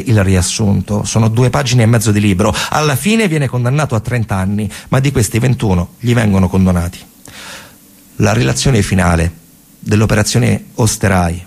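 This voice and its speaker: A man with a central Italian, Roman accent performing a colloquial, emphatic theatrical storytelling monologue, pausing and repeating words, in a gravelly, husky voice. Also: lowish voice, darkish tone. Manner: colloquial, emphatic, with pauses and repetitions. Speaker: stage actor and narrator.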